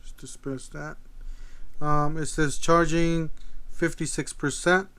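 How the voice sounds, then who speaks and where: Clear-voiced man casually demoing gadgets. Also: fairly high pitch, little pitch movement, a bit bright. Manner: halting, tentative, thinking aloud. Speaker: video host, gadget reviewer. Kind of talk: casual product demo.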